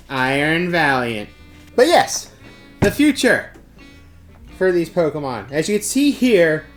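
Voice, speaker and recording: A man presenting an informal showcase to camera, emphatic, with halting pauses between phrases. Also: sits high, fairly bright tone. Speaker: presenter of a game store's monthly news segment.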